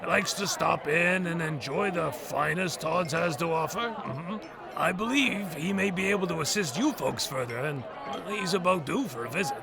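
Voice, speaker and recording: A man's voice: a little high, moderate pace, gravelly, a crowd in the background. A man playing a folksy, hesitant old diner owner in an audio drama. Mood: folksy, helpful.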